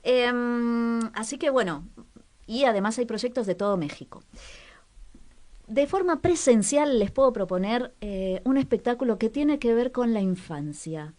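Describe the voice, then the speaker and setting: A woman presenting cultural recommendations on the radio in a casual, conversational way, pausing to search for words and repeating some for emphasis. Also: fairly high pitch, animated, darkish tone.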